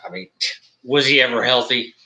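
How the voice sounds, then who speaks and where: A man's voice: mid-range voice, steady tone, normal speed, pushed and bright. A forty-year-old man co-hosting a casual podcast, blunt and casually profane. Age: 40s. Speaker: podcast co-host. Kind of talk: casual chat.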